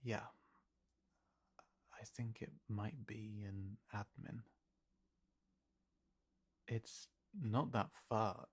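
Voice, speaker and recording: Man acting a slow, halting fantasy companion. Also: lowish voice, fairly even intonation, husky, full character voice. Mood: thoughtful, hushed. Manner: halting, soft-spoken, reassuring. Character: easygoing, hesitant male fantasy companion, gently supportive. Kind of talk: intimate audio roleplay acting.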